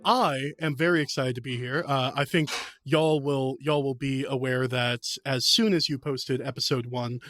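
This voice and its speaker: A man's voice: medium pitch, steady tone, moderate pace, a bit bright, no echo, clean studio sound, faint music behind. A man talking casually as a podcast guest, explaining things in an enthusiastic, talkative way. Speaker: podcast guest, veteran game master.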